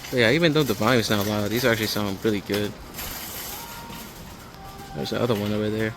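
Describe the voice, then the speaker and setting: A man's voice: fairly low pitch, steady tone, brisk, noisy background. A man giving casual, matter-of-fact walk-through commentary as a hobbyist vlogger inside a large store. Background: background music in a store.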